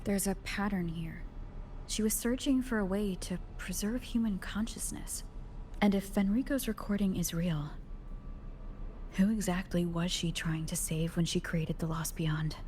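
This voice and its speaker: A woman voicing a composed, analytical young video game heroine in a measured, serious, scripted delivery. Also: on the low side, a little mellow, slight background noise, lightly acted. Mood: calm, focused. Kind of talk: scripted game dialogue and log narration.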